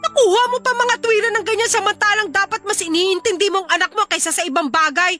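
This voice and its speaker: A woman's voice: extremely high pitch, steady tone, fast talker, music in the background. A woman playing a fed-up, pregnant young Filipino wife and mother in a radio drama, scolding in an exasperated, melodramatic way.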